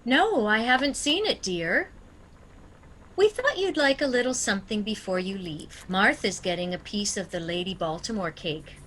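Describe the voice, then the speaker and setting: An actress playing a sweet, doting elderly spinster aunt in an amateur audio drama. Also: female voice, mid-range voice, animated, moderate pace, fairly bright tone, a little hiss or hum, close to an everyday voice.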